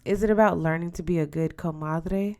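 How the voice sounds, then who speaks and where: A woman's voice: fairly low pitch, steady tone, a little fast, darkish tone, natural voice. A woman voicing an earnest, polite teenage schoolgirl who questions her elders in a storybook. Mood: earnest, hesitant.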